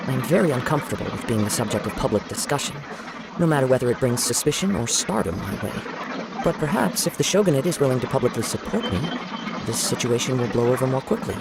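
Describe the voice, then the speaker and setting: A man playing a reserved young samurai wanderer in a video game, speaking formally and reflectively over loud noise. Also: medium pitch, sing-song, quick pace, creaky. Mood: reserved, thoughtful. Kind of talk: video game character acting.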